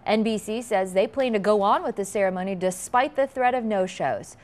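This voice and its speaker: A woman's voice: mid-range voice, animated, moderate pace. A woman reading scripted television news as a morning anchor.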